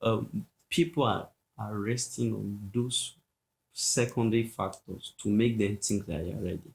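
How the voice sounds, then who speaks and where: A man's voice: on the low side, unhurried, creaky, low-quality recording. A man, a pastor talking casually on a podcast, repeating his points and often asking "you get?".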